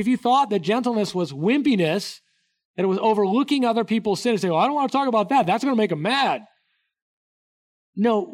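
Man, middle-aged, pastor, sermon, slightly high voice, earnest, hushed to emphatic, many restarts. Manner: earnest, wide swings between soft hushed and raised emphatic delivery; many restarts and repeated words.

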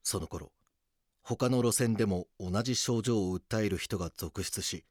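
An actor narrating a crime docudrama as a grave police detective, in a measured, scripted delivery. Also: male voice, fairly low pitch, a little mellow, a little hiss or hum, natural voice. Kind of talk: scripted dramatic documentary narration.

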